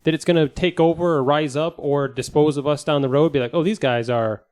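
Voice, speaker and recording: Higher-pitched, joking male podcast host. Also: a little fast, darkish tone, clean tone. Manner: joking, profane, prodding. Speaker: podcast host putting questions to panel. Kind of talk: casual chat. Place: podcast studio.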